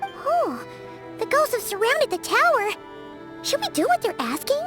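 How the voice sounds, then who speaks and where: A tiny, earnest fairy sidekick from a video game. The voice is extremely high, squeaky and childlike, excitable in its delivery, with faint music behind it. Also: female voice, measured pace, exaggerated voice. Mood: alarmed.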